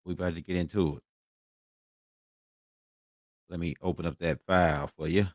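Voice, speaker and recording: An American man giving an informal, colloquial lecture, repeating phrases and often checking in with a quick tag question. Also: deep voice, fairly even intonation, no echo, studio quality. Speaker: online lecturer, host. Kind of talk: informal lecture talking through slides.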